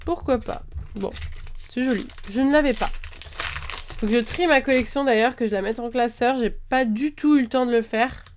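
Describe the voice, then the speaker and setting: A woman's voice: on the high side, moderate pace, a little hiss or hum. A woman with a slight cold, chatting casually as she opens card packs and lists each one, with lots of hesitant fillers. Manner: chatty, lists items one after another, frequent "euh" fillers. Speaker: card-opening video host. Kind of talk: casual chat while opening trading card packs.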